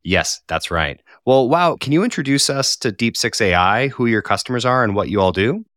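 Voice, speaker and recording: A man's voice: mid-range voice, expressive, moderate pace, a bit bright, no room sound, clean studio sound. A man from Colorado hosting a podcast, reading an upbeat intro and asking friendly questions. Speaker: podcast host.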